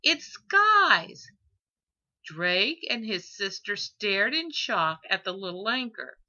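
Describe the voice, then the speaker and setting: A woman reading an audiobook story as expressive scripted narration, on a lo-fi recording. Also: medium pitch, a little slow. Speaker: audiobook reader.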